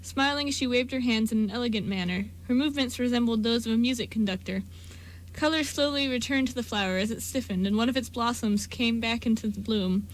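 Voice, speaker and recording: Fast, higher-voiced woman narrator. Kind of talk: scripted story narration for amateur radio drama.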